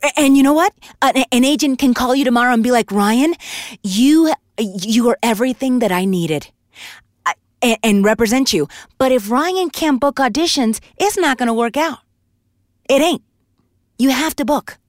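A woman in her thirties who is a voiceover artist, giving emphatic, animated advice in a casual podcast interview. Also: high voice, moderate pace, no room sound, clean studio sound. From Puerto Rico.

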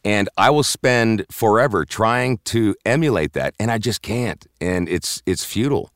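Guy with a really deep low voice rambling about his work, lots of ums and restarts, dry close sound. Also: lively intonation, a bit bright, no echo. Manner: rambling, halting, with many fillers and restarts. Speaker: voiceover announcer, interview guest. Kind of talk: casual interview chat.

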